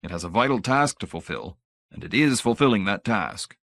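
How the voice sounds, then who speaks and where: Man performing an elderly male research director, audiobook character, pompous, grand, timid, fussy, lowish voice. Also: animated, normal speed, creaky, fairly bright tone, no echo, studio quality, heavily acted. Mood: pompous, earnest. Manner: grandly intoning, idealistic, fussy.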